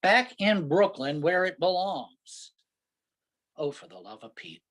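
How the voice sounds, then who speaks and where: A woman's voice: lowish voice, sing-song, slow, heavily acted. A woman playing a grumpy, sarcastic city driver from Brooklyn in a comic play reading, complaining in exasperation. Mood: exasperated.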